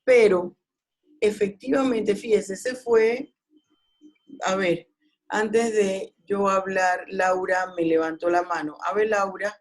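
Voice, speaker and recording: A woman's voice: medium pitch, steady tone, takes it slowly. A woman, a law professor leading an online class discussion in a didactic way, often questioning students. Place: video call.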